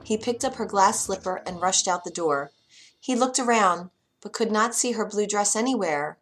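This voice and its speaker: A woman storyteller with a bright, forward voice reads a children's fairytale. She is expressive and dramatic, at a medium pitch, with soft music playing behind her. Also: normal speed. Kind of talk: children's bedtime story narration.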